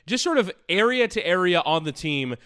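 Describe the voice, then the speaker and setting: A man's voice: high-pitched, expressive, normal speed, fairly bright tone, smooth. A man co-hosting a sports podcast, talking through his analysis in a conversational style.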